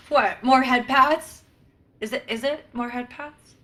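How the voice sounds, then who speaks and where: Brainy, pouty female science officer played by a woman, high voice, prim indignation, sci-fi comedy play. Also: takes it slowly, fairly bright tone. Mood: huffy.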